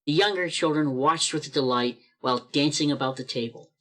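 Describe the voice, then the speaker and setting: A woman narrating a radio play over a video call, telling the story in a measured, expressive way. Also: deep voice.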